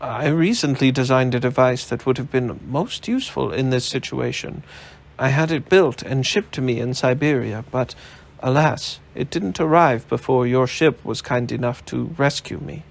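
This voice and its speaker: A man's voice: medium pitch, normal speed, vocal fry. A man reading aloud, voicing a formal, genteel older male inventor with dry amusement.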